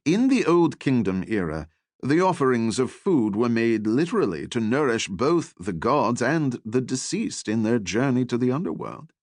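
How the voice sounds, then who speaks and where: A man's voice: medium pitch, big pitch swings, moderate pace, a little mellow, dry recording, studio quality, lightly acted. A man voicing an eager elderly archaeology professor, courteous and scholarly, who lectures with animation in an audiobook.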